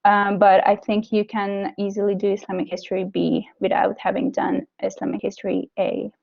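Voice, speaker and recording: A woman's voice: mid-range voice, monotone, normal speed, vocal fry, darkish tone, lo-fi sound. A young woman university student, not a native English speaker, talks informally about her courses, hesitating often with ums and restarts. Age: young adult. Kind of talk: informal talk on course choices.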